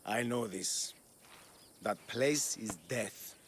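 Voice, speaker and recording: An actor playing a guilt-ridden, shaken old caretaker who speaks slowly and haltingly after torture. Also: male voice, lowish voice, noisy background. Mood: grieving. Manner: slow, halting, mournful. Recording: orchestral game score underneath.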